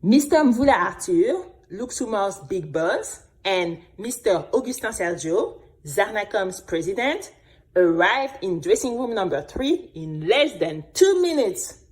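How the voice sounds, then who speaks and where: A woman playing an earnest, resilient young singing contestant, narrating her own story in an expressive, deliberate, dramatic way. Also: mid-range voice, low-quality recording. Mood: earnest, confiding. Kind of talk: scripted dramatic first-person storytelling.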